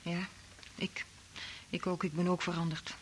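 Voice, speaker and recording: A woman's voice: lowish voice, flat delivery, measured pace, lightly acted. An actress playing a fragile, troubled woman full of longing in a Dutch radio play. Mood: despondent. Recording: bright hissy old radio recording, clicks.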